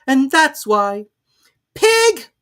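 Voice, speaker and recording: A rude, hot-tempered Duchess from a storybook, acted out by a woman reader. The voice jumps high and swoops widely, with a hoarse growly edge. Lines are curt and heavily drawn out for emphasis. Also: big pitch swings, very slow, fairly bright tone, exaggerated voice. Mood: brusque.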